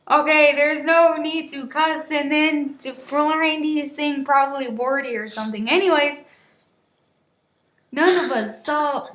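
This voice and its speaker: A high-pitched woman reads a story aloud at a slow pace. She gives it amateur drama, trips over words and drops into casual, giggly asides. The room around her sounds echoey. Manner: giggly, stumbling over words, shouting the quoted lines. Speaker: reader of an amateur online story. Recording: roomy, echoey voice recording.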